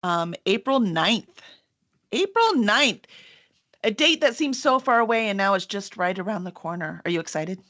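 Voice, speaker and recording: A woman's voice: medium pitch, expressive, moderate pace, fairly bright tone. A woman hosting an event in a casual, chatty way. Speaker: host, book publisher.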